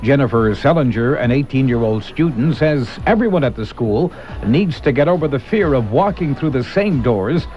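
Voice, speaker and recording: Mid-pitched male news reporter. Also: lively intonation, moderate pace, a little mellow, rough recording. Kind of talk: scripted radio news report.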